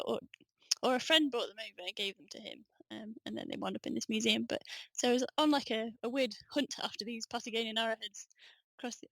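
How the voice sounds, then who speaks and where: A woman's voice: on the high side, fairly bright tone, no echo, rough recording. A young woman in her twenties, a student guest on a podcast, talking enthusiastically and casually, full of "um" and "like". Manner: enthusiastic, chatty, frequent "um" and "like". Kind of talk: casual conversational interview.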